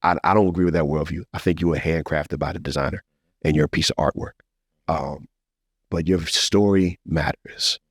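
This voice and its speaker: A man's voice: a bass voice, normal speed, dry recording, studio quality. An American man hosting a podcast, telling stories casually and animatedly and encouraging listeners in a heartfelt, preacher-like way. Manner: animated storyteller, slips into encouraging preacher-like address. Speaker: podcast host. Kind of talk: casual, heartfelt testimony and conversation.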